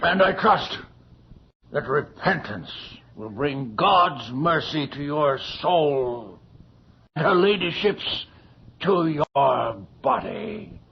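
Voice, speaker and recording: A man's voice: a little high, sing-song, drawn-out words, creaky, exaggerated voice. An actor playing a haughty, domineering magistrate of the king in a radio horror drama, speaking in formal, pompous phrases. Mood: outraged, commanding. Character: stern, haughty magistrate of the king, contemptuous of peasants. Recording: dull, noisy old radio recording.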